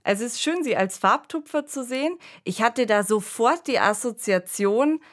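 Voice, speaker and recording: A woman's voice: on the high side, animated, moderate pace. A woman hosting a podcast discussion in a conversational, reflective way, thinking aloud. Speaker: podcast host. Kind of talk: conversational discussion of a radio play.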